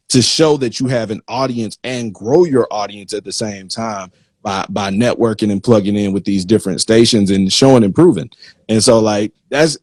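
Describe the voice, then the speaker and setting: A man's voice: lowish voice, darkish tone, no room sound. Casual male host, close dry sound. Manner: informal, halting and repetitive. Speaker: interview host. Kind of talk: casual conversational chat.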